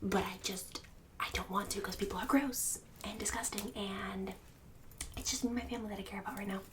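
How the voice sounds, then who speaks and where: A woman's voice: mid-range voice, fairly even intonation, fairly quick, recorded from a distance, noisy background, natural voice. A woman playing a snobbish, bossy suburban book club hostess in an ASMR roleplay, condescending and catty with fake-sweet insults. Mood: fake-sweet, bragging. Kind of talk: ASMR roleplay, whispered and soft-spoken.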